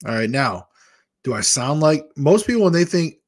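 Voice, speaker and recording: A man's voice: on the high side, big pitch swings, moderate pace. A man in his fifties from Michigan, hosting a casual live chat with his viewers from a small room at home and speaking into a desk microphone. He talks candidly and rambles from one story to the next. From Michigan, USA. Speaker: weight-loss vlogger hosting a live chat. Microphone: large desk microphone in front of a webcam.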